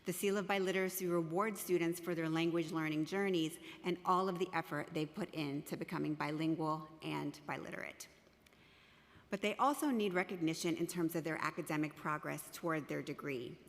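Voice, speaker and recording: A woman whose first language is Russian, a professor reading prepared testimony in a measured, formal way in a council chamber. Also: deep voice. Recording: long reverb of a large hall.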